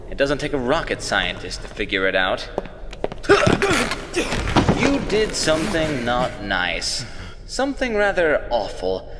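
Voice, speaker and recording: Male actor as taunting, sinister greeter for radio play; theatrical, widely swinging pitch, noisy recording. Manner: theatrical, taunting, drawn-out delivery. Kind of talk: amateur radio play acting. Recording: noisy, reverberant amateur recording.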